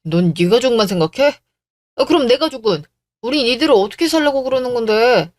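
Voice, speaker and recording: A woman's voice: on the high side, lively intonation, fairly quick, darkish tone, no echo, natural voice. Whiny, self-pitying young fiancé voiced by a woman. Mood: aggrieved. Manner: pleading, blaming. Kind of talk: radio drama dialogue.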